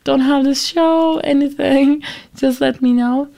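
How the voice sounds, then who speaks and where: High, creaky-voiced young woman radio host. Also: fairly even intonation, a little slow, mellow. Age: young adult. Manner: rambling, self-deprecating. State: recovering from a week of sickness. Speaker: student radio show host. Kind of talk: casual music show chat.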